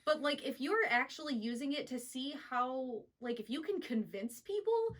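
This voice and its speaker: A young woman with a high, bright pitch, co-hosting a casual podcast. She reacts quickly and bluntly, dropping curse words without a second thought. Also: expressive. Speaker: podcast co-host. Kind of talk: casual chat.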